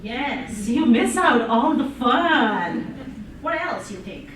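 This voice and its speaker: A woman's voice: a little high, sing-song, takes it slowly, a crowd in the background. Woman with an Indian accent addressing an audience. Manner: engaging, asks the audience questions, laughs often. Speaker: public speaker. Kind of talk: interactive speech to an audience. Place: conference room with audience. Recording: echoey room, voice from far off, noisy.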